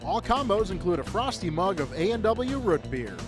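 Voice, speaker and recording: A man's voice: sits high, sing-song, normal speed. A man with an American accent reading a scripted radio advertisement as an announcer. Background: music.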